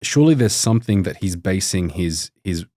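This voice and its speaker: A man's voice: low voice, lively intonation, fairly quick, dark tone, no room sound, studio quality. A young man, a doctor, telling stories in casual chat, rambling with many restarts and fillers. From Australia. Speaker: podcast guest, doctor.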